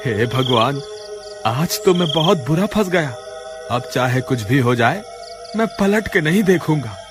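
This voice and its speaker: A jumpy village woodcutter from a horror cartoon, played by a man, fretful and exclaiming. His voice sits very high for a man and swings widely, over clear background music. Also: animated, unhurried. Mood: worried. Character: frightened village woodcutter, a husband, jumpy but stubborn. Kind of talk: Hindi horror cartoon dialogue. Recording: music behind the voice.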